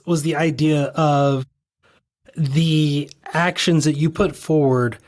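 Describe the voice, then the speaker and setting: A podcast host with a slightly raspy voice, tired from lack of sleep, asking long, musing questions with enthusiasm. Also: medium pitch, darkish tone. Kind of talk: conversational interview.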